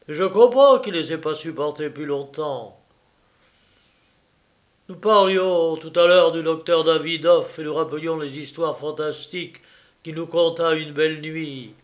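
A man reading as a feverish young man in a storybook, bitter and mocking, his voice rising sharply on the taunts. He speaks in a slightly high register and at an unhurried pace, and the delivery stays vehement throughout. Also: natural voice. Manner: vehement, sardonic.

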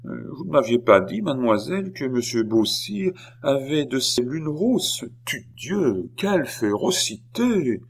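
A man voicing a calm, mocking gentleman stranger, politely ironic, in a storybook reading. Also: mid-range voice, measured pace, hardly any pauses, pitched above the performer's own voice. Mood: dryly mocking.

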